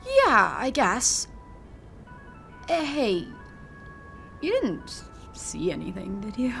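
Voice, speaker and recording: A teenage girl in an audio drama. She sounds casual and youthful, breezy yet guarded, with a middle-pitched voice over faint music. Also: big pitch swings, takes it slowly, creaky, a bit bright, exaggerated voice. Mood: sheepish. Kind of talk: naturalistic audio drama acting.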